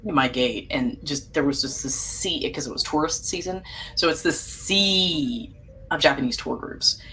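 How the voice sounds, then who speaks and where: A woman in casual podcast conversation, telling anecdotes in a lively way with frequent laughter. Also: low voice, a little slow, slight background noise, low-quality recording. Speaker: podcast panelist. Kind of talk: casual chat.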